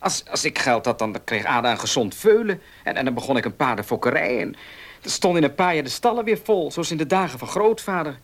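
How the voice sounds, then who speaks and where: An actor playing an earnest, proud young farmer in a radio play, husky and plain-spoken, with emotional delivery. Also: male voice, fairly high pitch, expressive, fairly quick, fairly bright tone. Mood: troubled, bitter. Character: earnest young horse-loving farmer, proud, short of money. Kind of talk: Dutch radio play acting.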